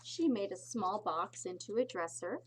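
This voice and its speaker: A woman teacher reads a picture book aloud for young children, expressively and deliberately, adding little explanatory asides. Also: mid-range voice, animated, a little hiss or hum, poor audio. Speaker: pre-K teacher reading aloud.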